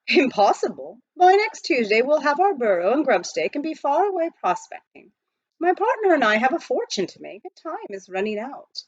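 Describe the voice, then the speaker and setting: A woman voicing a composed, courteous and quietly confident butler in a children's storybook, with formal, polite phrasing. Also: high voice, sing-song, moderate pace, no echo, dull audio, voice pushed up. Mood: assured.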